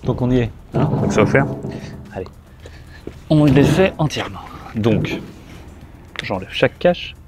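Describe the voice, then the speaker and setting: A man's voice: medium pitch, lively intonation, unhurried, long pauses, over music. A man giving a casual hands-on product tutorial, chatting informally to the viewer and thinking aloud as a gear reviewer.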